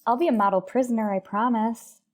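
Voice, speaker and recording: An actress playing a naive, trusting young stowaway in a radio drama, innocent, eager and unguarded. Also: female voice, on the high side, fairly quick, darkish tone, close to an everyday voice.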